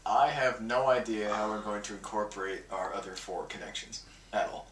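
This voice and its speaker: A man's voice: lowish voice, steady tone, normal speed. A man talking in a casual, amateur skit style for a homemade project video. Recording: echoey room, distant mic, noisy.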